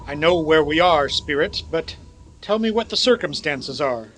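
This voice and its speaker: A man's voice: on the high side, lively intonation, moderate pace, fairly bright tone, a little hiss or hum, poor audio. A man playing an anguished old miser in a radio play, pleading and dramatic. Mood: troubled. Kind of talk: live-audience radio play acting.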